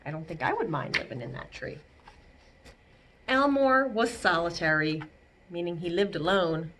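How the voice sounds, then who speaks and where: A woman's voice: lowish voice, expressive, moderate pace, a bit bright, slight background noise. A woman reading a picture book aloud to young children as a storytime librarian, expressively, with simple asides explaining words and pictures.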